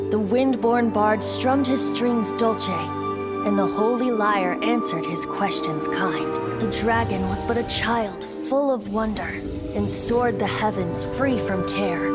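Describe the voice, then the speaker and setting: Over prominent music, a wistful bard recites an epic ballad in a video game, played by a woman. The delivery is slow, stately and lyrical, at a medium pitch. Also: close to an everyday voice. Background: loud background music.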